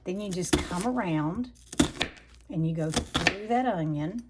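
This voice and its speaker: A woman, hosting a home cooking lesson with a chatty step-by-step manner; her voice is raspy from allergies and she breathes heavily. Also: lowish voice, animated, slow pace, very noisy. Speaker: home cooking channel host. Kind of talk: casual cooking demonstration. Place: home kitchen.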